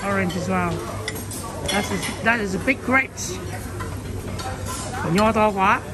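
A woman's voice: mid-range voice, takes it slowly. A woman chatting casually as she films her way through a restaurant buffet, slipping English phrases in among her commentary. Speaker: vlogger. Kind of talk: casual walk-through vlog. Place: busy indoor buffet restaurant. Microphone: handheld phone. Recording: loud crowd noise behind.

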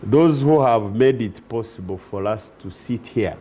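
A man's voice: medium pitch, expressive, warm tone, slight background noise. A man, a teachers union leader, speaking formally as a guest on a TV talk show. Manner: measured, formal. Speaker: teachers union general secretary. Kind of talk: TV studio interview. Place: TV studio set. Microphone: lapel.

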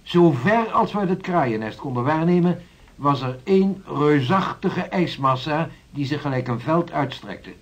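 An actor playing a patient, formal 16th-century Dutch navigator in a radio play, reporting in deliberate archaic phrases. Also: male voice, a little high, animated, lo-fi sound. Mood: measured, reporting. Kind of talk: radio drama acting, storytelling report.

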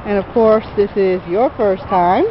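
An effusive woman from Boston talks emotionally as she tours an outdoor stadium. She is caught on a handheld camera mic. Loud city and crowd noise washes over her voice. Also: mid-range voice, measured pace, highs cut off. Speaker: vlog co-host and tourist. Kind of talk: casual excited chat while touring.

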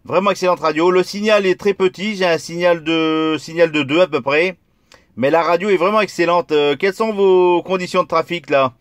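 A man's voice: sits high, moderate pace, no echo. A man making a casual radio contact as a CB operator, filming his own video.

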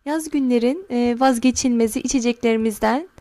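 A high-pitched woman gives a recipe voice-over, talking viewers through each step slowly and carefully. She sounds middle-aged and friendly, calling her audience friends as she explains. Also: dark tone. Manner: slow, careful instructions. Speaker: home cooking channel host. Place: home kitchen counter.

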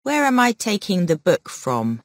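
A woman asking questions for a textbook audio track in slow, clear speech. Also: medium pitch, very animated, no room sound. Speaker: recorded model speaker.

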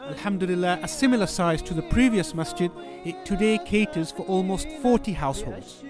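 A man giving a measured, reverent scripted narration as a charity voice-over. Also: sits high, lively intonation. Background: music.

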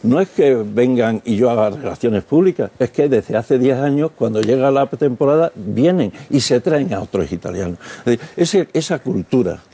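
An older man speaks in a husky, mellow voice on a TV panel. He makes his points emphatically, pressing on. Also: mid-range voice, expressive, normal speed, few pauses. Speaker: advertising executive guest. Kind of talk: studio panel discussion. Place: TV studio set. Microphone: lapel mic.

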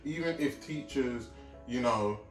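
A man's voice: medium pitch, unhurried. A young man co-hosting a casual chat, speaking straight to the audience and checking that they follow him. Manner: addresses the audience directly, often asks "you know what I'm trying to say?". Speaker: co-host. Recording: roomy sound, mic at a distance, faint music.

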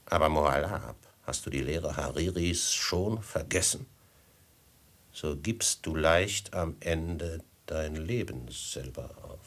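An actor playing a falsely courteous desert robber chief with a husky voice, unctuous and flowery, in a 1950s German radio drama. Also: male voice, a bass voice, slow, heavily acted. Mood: veiled menace. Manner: unctuous, flowery, mock-friendly, theatrical.

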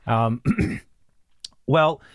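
A man hosts an architecture podcast in a casual, friendly way, quick to laugh and to nod along with short replies. His voice sits at an ordinary pitch, recorded close and dry on a boom mic. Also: very animated, no room sound. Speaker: podcast host. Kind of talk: casual interview. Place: small home studio with plants, indoors. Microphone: studio boom mic.

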